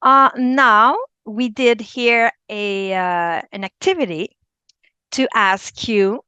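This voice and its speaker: Quebec french lady teaching a webinar, highish voice, dry sound, stops to find words a lot. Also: takes it slowly, bright, forceful tone, clean tone, no echo, muffled recording. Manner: hesitant, searching for words, many ums. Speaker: teacher presenting a workshop. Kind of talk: live webinar presentation.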